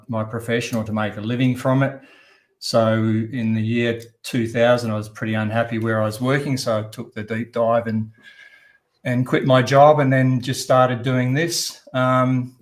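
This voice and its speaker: A middle-aged Australian man talking casually about himself as an interview guest. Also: mid-range voice. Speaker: podcast guest, landscape photographer.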